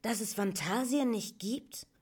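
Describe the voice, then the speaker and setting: A woman's voice: high-pitched, lively intonation, measured pace, slight background noise, pitched above the performer's own voice. A woman voicing an earnest, impulsive young boy hero in an audiobook, urgent and intense. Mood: baffled. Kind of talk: audiobook character voice.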